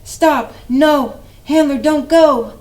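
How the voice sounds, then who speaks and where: A woman's voice: fairly low pitch, expressive, moderate pace, darkish tone, far from the mic, natural voice. A woman voicing a kind, friendly female dog in a storybook reading, close to her own voice. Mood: alarmed.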